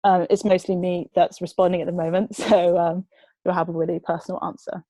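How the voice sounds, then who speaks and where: A woman's voice: on the low side, level tone, brisk, mellow, no echo. A young woman answering questions for her food waste group on a video call, chatty and hesitant, laughing now and then. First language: not Dutch. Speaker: presenter of a food waste charity. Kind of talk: online Q&A answering.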